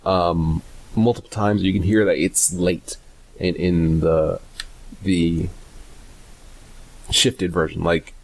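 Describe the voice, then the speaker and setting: A man presenting a casual, unscripted software tutorial in a hesitant, rambling way, often correcting himself. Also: deep voice, fairly even intonation, gravelly, breathy edge. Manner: hesitant, halting, self-correcting, rambling delivery.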